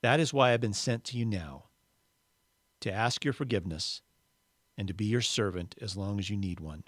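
Man reading as a calm, patient heavenly spirit. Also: on the low side, normal speed, no room sound. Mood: calm, confessing. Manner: calm, reassuring, plainspoken. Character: gentle, patient heavenly spirit, forgiven former murderer.

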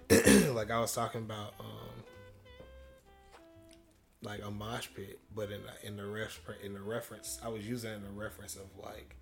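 A young man with a deep voice, a rapper talking casually as a guest in an interview, slangy and quick to laugh. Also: little pitch movement, vocal fry, soft background music. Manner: laid-back slangy talk, laughs often, swears freely.

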